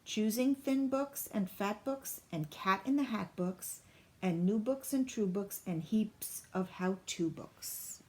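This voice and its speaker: A woman reading a children's picture book aloud in an expressive, deliberate storybook style. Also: mid-range voice, slight background noise. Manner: deliberate, clearly phrased storybook delivery.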